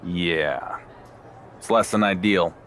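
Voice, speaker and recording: A man's voice: low voice, slow pace, fairly bright tone. A man playing a determined wrestling champion in a game cutscene, talking with dry, wry sarcasm over slight background noise. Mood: dry, scheming. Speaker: wrestler character. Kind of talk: conversational video game cutscene.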